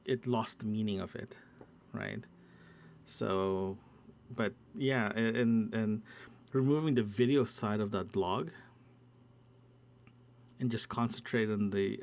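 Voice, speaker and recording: Gravelly man talking casually, halting. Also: on the low side, a little mellow. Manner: halting, thinking aloud, repeating words. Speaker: podcast host. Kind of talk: personal audio log, casual solo talk. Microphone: small handheld recorder.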